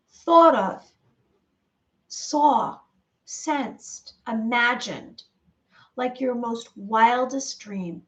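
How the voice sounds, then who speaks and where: A woman leading a guided visualization meditation, speaking slowly with soothing repetition and pauses. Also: on the high side, fairly bright tone, far from the mic.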